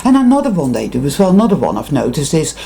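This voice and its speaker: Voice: mid-range voice, huge pitch swings, fast, gravelly, warm tone, slight background noise. A speaker with a Dutch accent, a native Dutch speaker, gives an intense, profane, emphatic monologue to viewers, repeating words for emphasis. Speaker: spiritual vlogger.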